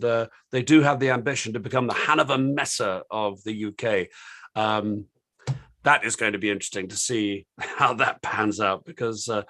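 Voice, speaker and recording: A British man with a slightly croaky voice opens a panel discussion over a video call. He talks in a rambling, hesitant way, stopping and restarting with plenty of ums and uhs. His pitch sits in the middle range. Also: a bit bright. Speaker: host of an online panel discussion.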